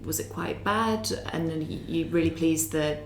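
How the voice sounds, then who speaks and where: Low-voiced woman interviewing. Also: moderate pace, gravelly, a little hiss or hum. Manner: gentle, encouraging, frequent "mm-hmm". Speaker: podcast host. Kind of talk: interviewer asking questions. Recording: echoey room with music underneath.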